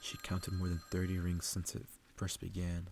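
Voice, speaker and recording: A man reading a story aloud in a soft, subdued ASMR style, unhurried and gravelly. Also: completely flat delivery, a little hiss or hum. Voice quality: low, gravelly, soft-spoken. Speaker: ASMR reader.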